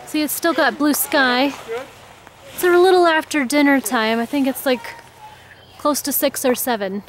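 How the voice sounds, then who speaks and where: A woman's voice: sits high, moderate pace, low-quality recording. A woman vlogging casually outdoors, narrating a family camping trip into a handheld camera. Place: outdoors at a campsite.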